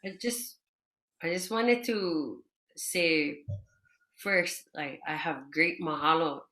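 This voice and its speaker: Woman, low and bright, slow, hesitant panel guest. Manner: halting, grateful, hesitant restarts. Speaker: roundtable guest and parent. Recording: roomy echo.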